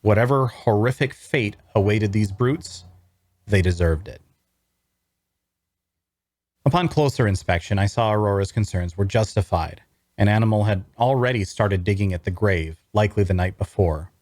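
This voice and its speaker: A man playing a brooding adventurer, narrating his grim journey in a measured, deliberate way at a scripted table read. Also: fairly low pitch, darkish tone, no echo, lightly acted.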